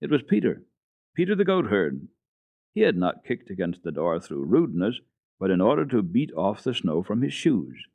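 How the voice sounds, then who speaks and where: A man giving an unhurried, expressive dramatic reading of a classic children's book for radio, with the odd hesitation. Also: on the low side, no room sound. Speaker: storyteller on a children's radio program.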